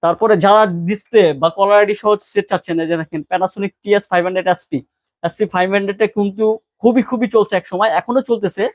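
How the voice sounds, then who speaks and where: Bangladeshi male shop presenter, high pitch, rapid informal sales delivery, dry close sound. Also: steady tone, dry recording. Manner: salesman-like, repeats key words and prices. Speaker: shop seller presenting products. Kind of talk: informal product showcase with prices.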